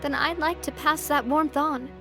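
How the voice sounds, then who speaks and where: A woman playing a refined, warm-hearted young leader in a video game, talking in a gentle, earnest, thoughtful way. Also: sits high, steady tone, normal speed. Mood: fond, reflective. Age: young adult. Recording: background music.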